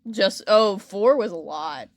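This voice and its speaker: A woman's voice: mid-range voice, expressive, slow, no room sound, clean studio sound. A woman hosting a podcast in a casual, playful, bantering comedic chat. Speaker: podcast host. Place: indoor room.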